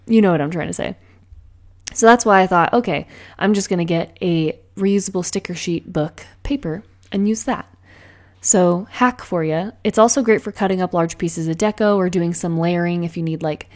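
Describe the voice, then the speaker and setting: A woman chatting casually while decorating a planner, bubbly and rambling, her voice a little raspy in the morning. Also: on the low side, a little mellow. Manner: bubbly, rambling, frequent laughs and filler words. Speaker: planner vlogger. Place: at home with cats meowing.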